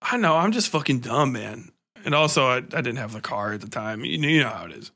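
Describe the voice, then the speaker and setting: A man with a bright, forward voice and a medium pitch, hosting a podcast. He chats informally, swears easily and makes fun of himself. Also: sing-song, fairly quick. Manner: informal, profane, self-deprecating. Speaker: podcast host. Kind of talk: casual chat.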